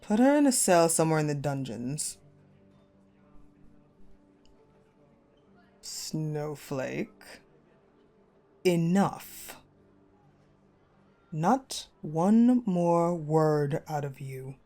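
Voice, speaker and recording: A woman playing a cold, possessive ice-queen general in a dark romance audio roleplay, commanding and menacing. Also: sits low, expressive, soft background music, exaggerated voice. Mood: grudging, stern. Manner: commanding, menacing, slow and deliberate, dropping to hushed.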